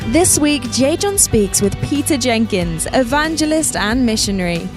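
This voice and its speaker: High-voiced female show announcer. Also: lively intonation, normal speed. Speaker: program announcer. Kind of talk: scripted narration. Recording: voice-over with music bed.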